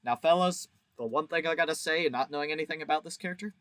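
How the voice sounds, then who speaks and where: Man with slightly high voice, crude casual reviewer. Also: quick pace, fairly bright tone, clean tone, no room sound. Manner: crude, profane, jokey and exaggerated. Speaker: video host reviewing a game. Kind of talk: casual, rambling review commentary.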